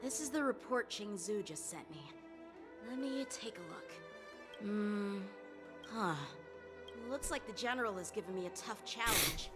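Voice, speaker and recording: An eager, polite young officer from a video game, played by a woman. The voice is boyish and mid-pitched for a woman, earnest, with clear music behind. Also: animated, a little slow, close to an everyday voice. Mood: pensive. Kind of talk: video game character dialogue.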